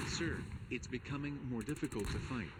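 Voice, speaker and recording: A man's voice: mid-range voice, moderate pace, close to an everyday voice. A man playing an uneasy field soldier reporting from battle in a radio drama. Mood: urgent reporting. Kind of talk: fictional military radio drama. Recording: loud battle noise over the voice.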